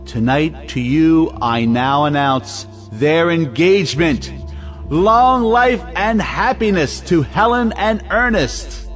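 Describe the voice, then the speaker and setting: Pompous, high-pitched family patriarch, a man acting. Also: takes it slowly, soft background music. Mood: proud, proclaiming. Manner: pompous, declamatory. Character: domineering, excitable male head of a well-to-do family. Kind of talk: theatrical audio play acting.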